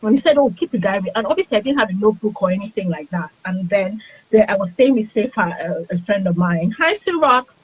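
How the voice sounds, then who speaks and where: A woman's voice: medium pitch, lively intonation, fast, husky, rough recording. A woman author being interviewed on a casual livestream. She is friendly and keeps greeting viewers as she talks. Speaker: author, guest.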